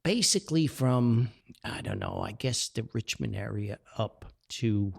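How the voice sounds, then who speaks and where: A man's voice: fairly low pitch, animated, measured pace, creaky, slightly rough. A man hosting a solo podcast in a folksy, rambling, jovial way, full of exclamations. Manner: jovial, chuckles often. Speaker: podcast host. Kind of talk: chatty solo podcast with sponsor reads.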